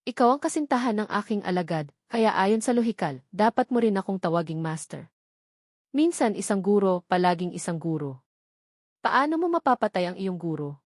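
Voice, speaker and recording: A menacing young master of martial arts voiced by a woman narrator speaks in an audiobook. He is cold and taunting, issuing threats. The sound is dry and the pitch is moderate. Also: animated, normal speed, no echo, natural voice.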